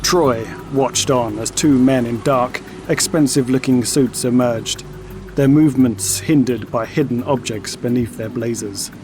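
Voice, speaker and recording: A man giving scripted, dramatic narration for an audio story in a measured way. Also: medium pitch, background noise. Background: music and sound effects.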